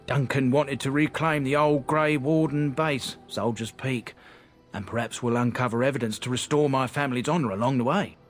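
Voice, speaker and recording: An actor playing a talkative, ingratiating travelling trader in a video game, speaking in a folksy storytelling way. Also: male voice, mid-range voice, normal speed, soft background music, close to an everyday voice. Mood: earnest. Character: chatty, ingratiating male travelling trader with a family grievance. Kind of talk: video game dialogue acting.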